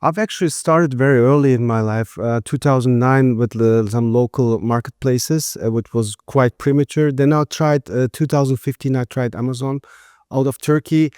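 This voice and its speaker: A middle-aged man born in Germany, speaking English as a non-native with frequent pauses and fillers. His voice is smooth and resonant. It is recorded close and dry, with no room sound. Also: medium pitch, steady tone, darkish tone, dry recording. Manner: halting, many uh fillers. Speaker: guest, textile manufacturer. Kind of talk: casual interview answers.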